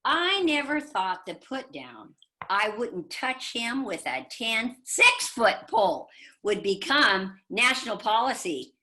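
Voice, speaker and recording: A woman's voice: fairly high pitch, huge pitch swings, slow, sharp tone. An older woman giving a performed reading of jokes over a video call. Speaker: readers theater performer.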